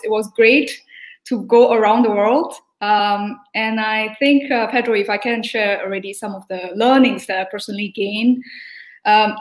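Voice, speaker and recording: A woman's voice: medium pitch, roomy sound, poor audio. A woman from Singapore, a guest speaker at a virtual summit, sharing what she learned from a panel in an earnest, thankful way with many fillers.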